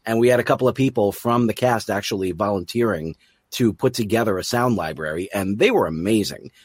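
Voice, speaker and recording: A man's voice: lowish voice, a little fast, husky, no echo, studio quality. A man hosting an interview in casual conversation, chatty and rambling, with a self-deprecating streak. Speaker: interview host and audio drama producer.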